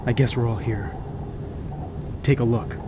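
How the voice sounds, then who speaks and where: A man's voice: mid-range voice, steady tone, measured pace, background noise, natural voice. An actor playing the sober, businesslike male leader of a polar expedition in an audio drama. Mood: sober, questioning.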